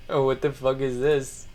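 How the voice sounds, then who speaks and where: A man giving casual, profane running commentary, muttering and then breaking into sudden loud outbursts, his throat strained. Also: mid-range voice, slight background noise. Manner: swears a lot, mutters, jumps to loud outbursts. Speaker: streamer reacting to videos.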